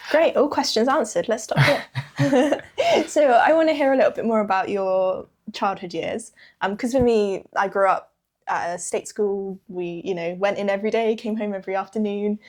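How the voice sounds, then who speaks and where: Woman, mid-range pitch, teasing, playful interviewer, casual chat. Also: moderate pace, darkish tone. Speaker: interviewer, guest's wife.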